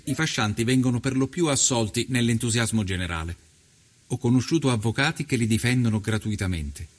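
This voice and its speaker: An actor playing an earnest 1890s journalist, narrating his travels through Sicily in a measured, literary documentary style. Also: mid-range voice, a bit bright, slight background noise, natural voice. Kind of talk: scripted documentary narration, read as a first-person travel report.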